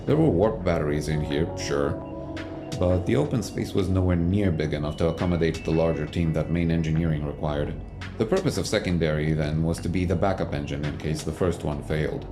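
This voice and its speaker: A man narrating a scripted science fiction audio drama as its storyteller. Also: low voice. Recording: music bed under the voice.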